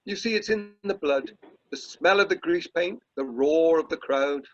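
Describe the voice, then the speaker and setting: A man's voice: a little high, normal speed, a bit bright. An amateur actor playing a pompous, irritable middle-aged British drama society chairman in a radio play. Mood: wistful, grand. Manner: pompous, self-dramatising, exasperated. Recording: muffled, lo-fi video call audio.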